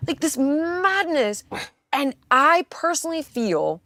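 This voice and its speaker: Emphatic woman with a lively, high voice. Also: no room sound. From California. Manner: emphatic, rising to exasperated peaks, fast bursts. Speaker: guest speaking to a political figure. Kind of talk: impassioned conversational monologue.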